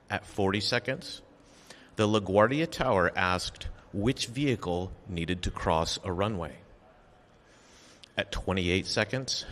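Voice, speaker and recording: Low-voiced man in a reverberant hall. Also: a bit bright, slight background noise. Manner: measured, factual, deliberate. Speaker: official giving an investigation briefing. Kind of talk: formal prepared statement read at a press briefing. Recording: long reverberant echo of a hall.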